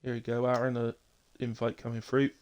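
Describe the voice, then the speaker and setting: A man's voice: medium pitch, completely flat delivery, fairly quick, a little mellow, clean tone. A man with a chest infection giving informal live sim racing commentary and casual chat as the host, in short running phrases.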